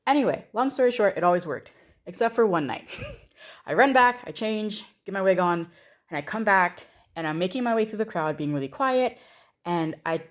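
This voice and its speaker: A young adult American woman telling a personal story in a casual, chatty way. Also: on the low side. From United States. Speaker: storyteller, video creator.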